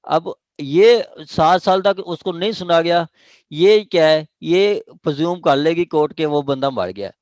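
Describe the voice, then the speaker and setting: A man's voice: fairly high pitch, measured pace, a little mellow, dry recording. A man with a Pakistani accent lecturing on law in an online class, repeating and rephrasing points and often checking that he is followed. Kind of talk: online law lecture, explaining statute articles. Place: indoors, online meeting.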